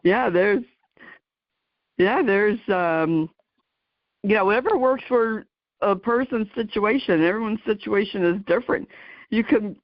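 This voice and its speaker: A woman's voice: mid-range voice, measured pace, pauses often, dry recording. A woman in her sixties hosting a podcast, chatting casually and circling back over her phrases as she talks. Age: 60s. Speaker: podcast host. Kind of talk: casual conversational interviewing. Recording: dry, slightly dull audio.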